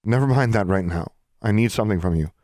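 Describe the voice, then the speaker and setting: Audiobook character, defiant teenage witch girl, acted by a man, insistent, desperate, pitched up, dry sound. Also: fast talker, a little mellow, dry recording, natural voice. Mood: urgent, pleading. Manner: insistent, challenging.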